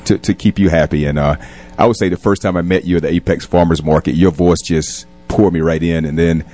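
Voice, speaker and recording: Male interview guest, extremely low voice, polite, earnest, conversational. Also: fairly even intonation, fairly quick, dark tone, soft background music. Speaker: police captain, interview guest. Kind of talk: casual radio interview. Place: radio studio.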